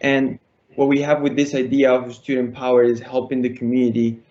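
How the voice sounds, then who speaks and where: A teenage man from Colombia, giving a prepared pitch over an online call. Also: completely flat delivery, a little fast, a little mellow, lo-fi sound. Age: teens, high school student. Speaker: student entrepreneur pitching.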